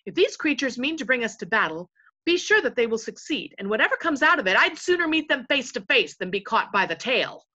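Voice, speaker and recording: A gallant little creature warrior from a storybook, as a woman reader acts him out. He declaims formally and haughtily, fearless and proud, with bright, animated delivery. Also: fairly high pitch, fairly quick, pitched above the performer's own voice, full character voice. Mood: proud, defiant.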